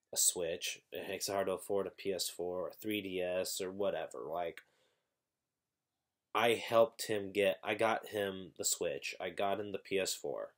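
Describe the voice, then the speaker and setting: Congested young man rambling to his webcam. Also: low-pitched. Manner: rambling with frequent restarts and self-corrections. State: congested, irritated throat. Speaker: YouTuber. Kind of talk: casual vlog talk to camera. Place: small room at home, indoors.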